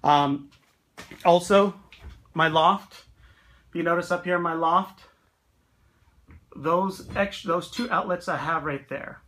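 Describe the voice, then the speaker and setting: A man with a slightly high voice gives a casual, chatty tour of his half-built tiny house and points things out as he goes. He talks into a handheld phone camera inside a small wooden room. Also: slow, long pauses, fairly bright tone. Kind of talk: casual walkthrough vlog.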